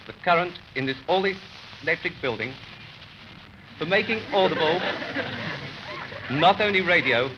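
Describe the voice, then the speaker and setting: An English man giving a stately, measured, formal scripted speech as the host of a ceremony, on an old 1930s recording. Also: fairly high pitch, sharp tone. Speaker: host introducing the guest speaker. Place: public opening ceremony in a store. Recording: muffled, noisy 1930s archive recording.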